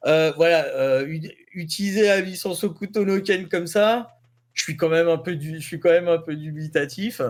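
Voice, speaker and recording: A man's voice: a little high, normal speed. A man giving news as a columnist in a rambling, slangy, jokey way. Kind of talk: casual chat.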